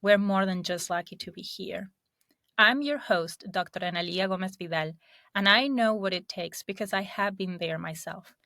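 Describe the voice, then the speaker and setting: A woman's voice: mid-range voice, normal speed, vocal fry, bright, forceful tone, dry recording, clean studio sound. A woman hosting a podcast interview, upbeat and asking curious questions. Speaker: podcast host and career coach.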